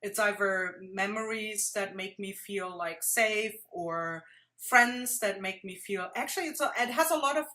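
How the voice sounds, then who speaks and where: A woman from Germany, hosting a relaxed interview and talking chattily with many fillers. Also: medium pitch, unhurried. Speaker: host. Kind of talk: casual interview chat.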